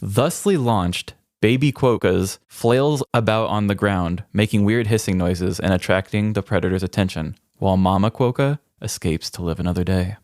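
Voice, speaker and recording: Low-voiced, wry man co-hosting a podcast. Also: moderate pace, few pauses, darkish tone, no room sound, studio quality. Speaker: podcast co-host. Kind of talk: casual comedic chat with read-out fun facts.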